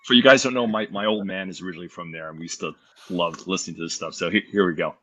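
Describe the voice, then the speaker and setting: Casting: male participant in casual group chat, low voice with a husky, forceful edge. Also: steady tone, racing. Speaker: participant in a group listening party.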